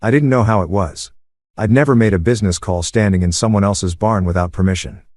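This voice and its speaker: Low-voiced male story narrator, dry close sound. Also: a little fast, a little mellow, no echo. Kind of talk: first-person story narration.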